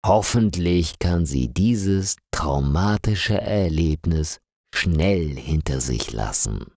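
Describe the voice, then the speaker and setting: A man narrating a scripted crime story for language learners in a slow, clearly articulated reading with lots of rise and fall. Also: deep voice, lively intonation, darkish tone, dry recording, clean studio sound.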